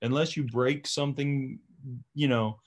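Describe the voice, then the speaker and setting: A man's voice: medium pitch, steady tone, a little slow. A man who runs a tree service, chatting casually and telling stories from work. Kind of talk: casual chat, storytelling from work.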